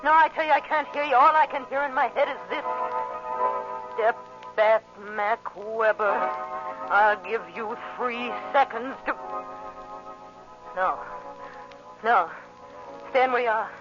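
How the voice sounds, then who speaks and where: An actress playing a desperate, vengeful woman in a 1940s radio drama, with a halting, intense, melodramatic delivery. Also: a little high. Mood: threatening, frantic. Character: desperate, vengeful woman luring a man to die. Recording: muffled old radio recording with music.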